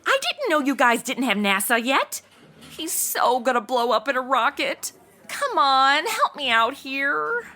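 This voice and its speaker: Bubbly, high-voiced ditzy young saleswoman. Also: sing-song, measured pace, bright, forceful tone, full character voice. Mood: eager, pleading. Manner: flippant Valley-girl slangy chatter. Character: bubbly, ditzy young female novice insurance seller. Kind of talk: comedy audio drama acting.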